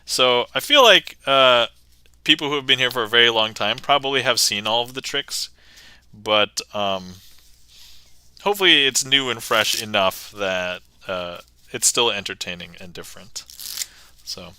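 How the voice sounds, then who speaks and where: Guy streamer just chatting, very bright tense voice, smooth, lots of ums. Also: mid-range voice, lively intonation, measured pace. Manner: chatty, many fillers and restarts. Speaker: magician streamer. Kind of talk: casual livestream chat.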